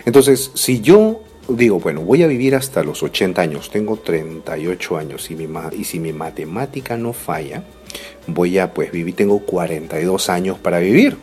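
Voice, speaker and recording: A man in his thirties talks straight to the listener in a deep, low voice that still rises and falls a lot. He sounds reflective and keeps asking rhetorical questions, with faint music playing underneath. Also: lively intonation, normal speed, a little mellow. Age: 30s. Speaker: motivational speaker. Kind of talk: motivational talk to the listener.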